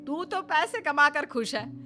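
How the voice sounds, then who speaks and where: A woman playing a greedy, scheming older mother-in-law in an animated moral-story cartoon, nagging and taunting, with music behind her. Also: sits high. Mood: aggrieved. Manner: nagging, taunting, drawn-out jibes.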